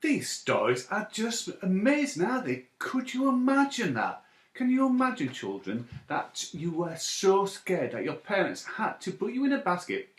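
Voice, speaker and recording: A man chatting playfully and excitably to children as a story time presenter, asking them questions, in an echoey room. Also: high voice, normal speed. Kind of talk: playful chat addressed to children.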